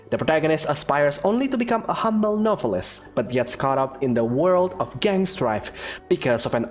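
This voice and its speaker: A game streamer reading game text aloud expressively, with casual commentary in between. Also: mid-range voice. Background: faint music.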